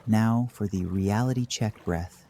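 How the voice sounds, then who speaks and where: A man narrating a scripted guided meditation in a measured, dry deadpan manner. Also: on the low side.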